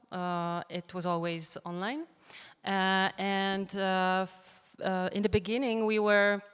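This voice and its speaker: A woman, a magazine editor speaking English on a festival panel, pausing often with fillers. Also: mid-range voice, smooth. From Poland. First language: Polish. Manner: halting, heavy with fillers. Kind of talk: panel discussion remarks. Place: festival debate venue. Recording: reverberant hall.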